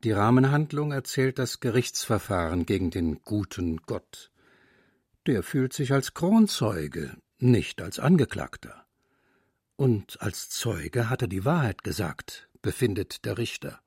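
A man's voice: fairly low pitch, sing-song, unhurried, darkish tone, husky, no echo, clean studio sound. A man narrating a radio feature in a composed manner. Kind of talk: scripted narration.

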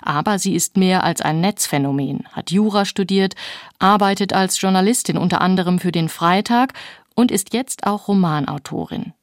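A woman's voice: lowish voice, normal speed, no room sound, studio quality. A woman presenting a scripted introduction on a radio book programme.

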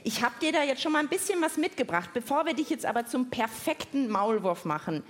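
A woman's voice: high-pitched, expressive, fairly quick. A woman co-hosting a children's science show in front of a live audience, giving simple, encouraging instructions. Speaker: co-host of a children's science podcast. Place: live stage at a podcast festival. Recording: clear background noise, live event.

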